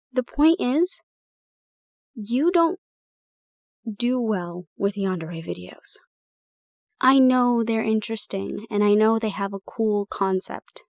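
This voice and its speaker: A young woman voices a protective roommate who scolds and comforts in turn. Her voice sits a little high. It is recorded close and bone dry. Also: dry recording. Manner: brisk and bossy, then soft and soothing. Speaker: caring roommate. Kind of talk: improvised ASMR roleplay.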